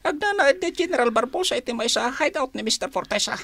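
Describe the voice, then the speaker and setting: Anxious high-voiced Filipino informant. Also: female voice, animated, quick pace, a bit bright, dry recording, full character voice. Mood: urgent, secretive. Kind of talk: radio drama acting.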